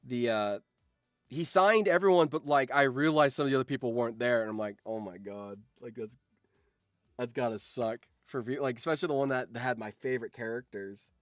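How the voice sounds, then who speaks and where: A man's voice: medium pitch, a little fast, no echo, clean studio sound, soft background music. A man chatting casually on a livestream, telling anecdotes in an informal, sweary, enthusiastic fan's way. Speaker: streamer and voice actor.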